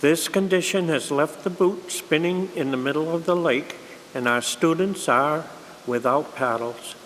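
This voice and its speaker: A man on a school board reading a formal letter aloud at a meeting, steady and formal. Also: a little high, unhurried. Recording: reverberant hall with faint hiss.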